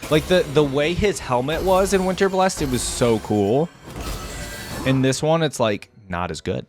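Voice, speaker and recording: Animated man reacting casually, music behind. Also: a little high, measured pace. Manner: informal, thinking aloud. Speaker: host of a reaction video. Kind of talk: casual off-the-cuff reaction commentary.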